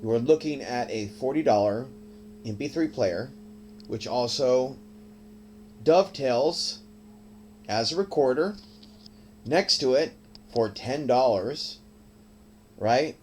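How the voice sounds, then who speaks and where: A man hosting his own independent show, talking in a rambling, unscripted way, halting and drifting into asides. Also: medium pitch, lively intonation, a little mellow, slight background noise. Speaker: host of an independent podcast and TV news show. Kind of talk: rambling unscripted monologue to listeners.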